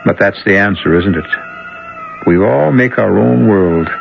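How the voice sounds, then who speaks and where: A man's voice: on the low side, measured pace, gravelly. A man hosting, reflecting in scripted narration. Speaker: host. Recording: dull old radio broadcast with music.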